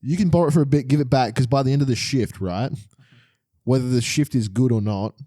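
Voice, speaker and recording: An Australian man riffing through a comedy improv bit, rattling off lines at speed. His voice is mellow and dark, and the recording is dry and close with no room sound. He plays it deadpan and bro-ish. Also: medium pitch, fast talker, no room sound. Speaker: podcast co-host. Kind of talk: improvised comedy role-play.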